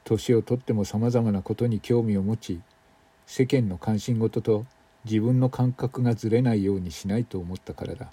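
A man's voice: fairly low pitch, fairly even intonation, normal speed, mellow, breathy edge. A man playing a retired older man in a radio drama, reflective and muttering in a colloquial way. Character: retired old man, weary and grumbling, reflective.

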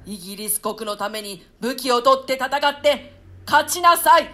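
A woman voicing the ghost of a betrayed lord in a radio play reading, shouting loud curses. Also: high-pitched, expressive, normal speed. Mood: rousing.

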